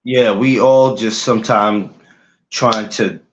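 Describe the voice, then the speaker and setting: A man's voice: lowish voice, steady tone, takes it slowly. Easygoing man on a dull lo-fi mic. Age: 40s. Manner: easygoing, reactive banter. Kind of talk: casual chat. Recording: dull lo-fi mic with faint noise.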